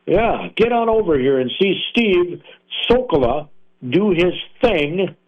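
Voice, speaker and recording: A man's voice: high-pitched, animated, slow. A man hosting a casual radio interview, friendly with upbeat interjections. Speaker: radio host. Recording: dull AM radio broadcast sound.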